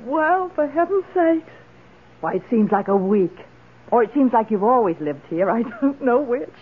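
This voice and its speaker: Effusive rich hostess played by a woman, high swooping voice, theatrical radio-drama delivery, muffled old recording. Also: expressive, moderate pace, slight background noise, lightly acted. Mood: merry, tipsy. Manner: theatrical, gushing, exclamatory. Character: wealthy, generous, effusive lady of the house.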